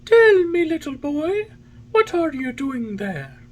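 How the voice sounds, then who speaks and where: A woman puts on a large male pigeon who talks in a children's storybook. The voice sits very high, far above an everyday register, and takes its time. It sounds earnest, helpful and matter-of-fact. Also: fairly even intonation, measured pace, slight background noise. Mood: inquiring, calling out.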